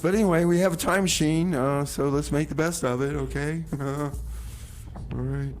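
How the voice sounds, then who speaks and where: A man's voice: on the high side, fairly even intonation, normal speed. A man hosting a community radio music show, talking casually in a rambling, repetitive way with comic banter. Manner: rambling, repeats phrases, says "man" casually. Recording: noticeable background noise, ambience.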